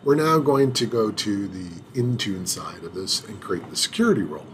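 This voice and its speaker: A man walking through a software setup on screen in an unhurried tutorial, pausing often with fillers and restarting his phrases. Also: lowish voice, animated, slight background noise. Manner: unhurried, hesitant, many filler sounds and restarts. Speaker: IT administrator demonstrating software setup. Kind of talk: screen-recorded tutorial walkthrough.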